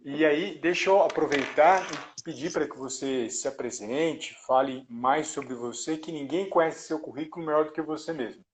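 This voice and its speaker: A man's voice: fairly high pitch, normal speed, few pauses, slight background noise. A man, hosting a casual live chat in a friendly, chatty way. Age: 40s. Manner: friendly, chatty, laughs easily. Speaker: host.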